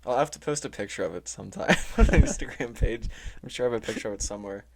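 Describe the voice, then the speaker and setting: A man's voice: medium pitch, quick pace, creaky, slight background noise. A young man co-hosting a podcast in casual chat, with a laid-back, slangy way of talking and some swearing. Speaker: podcast co-host.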